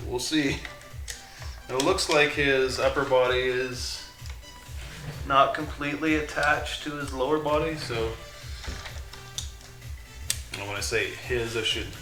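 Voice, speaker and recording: Man, low voice, hobby builder talking through his work, stops and restarts, distant mic, echoey room, music playing clearly behind. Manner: thinks aloud while working, with halting restarts. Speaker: maker hosting a live build stream. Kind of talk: casual live workshop commentary. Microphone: condenser mic set away from him. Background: music from a streaming app.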